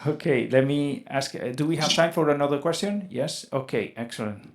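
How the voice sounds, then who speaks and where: Man, interview host, soft-spoken, higher voice, video call. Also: moderate pace. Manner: soft-spoken, laughs often, short acknowledgments. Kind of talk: interview hosting.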